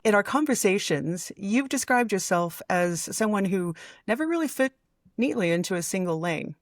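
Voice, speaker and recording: A woman hosting an interview podcast, speaking in measured, articulate phrases. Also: mid-range voice, animated, no room sound, studio quality. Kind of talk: scripted introduction, then conversational interviewing.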